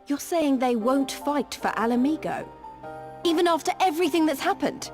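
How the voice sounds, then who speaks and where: A woman's voice: sits high, animated, normal speed, a little mellow, faint music behind. A woman playing an eager, outspoken young fighter in a fantasy game, direct and emotional in delivery. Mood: dismayed.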